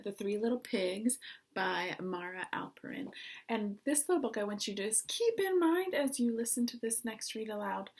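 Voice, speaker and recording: A woman speaking as a teacher, introducing and explaining a picture book to young listeners. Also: on the high side. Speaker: teacher reading aloud to children. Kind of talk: picture book read-aloud.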